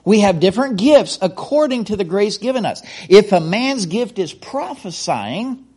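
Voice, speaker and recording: Middle-aged American man preaching, high-pitched and husky, folksy delivery with frequent restarts. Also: sing-song, normal speed, a little mellow. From United States. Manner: folksy, repeats words and starts over. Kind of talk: sermon.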